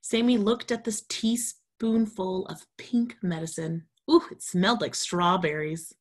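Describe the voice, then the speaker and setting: A woman's voice: mid-range voice, unhurried, breathy edge. A woman storytime reader, reading a children's storybook aloud expressively and talking to young children.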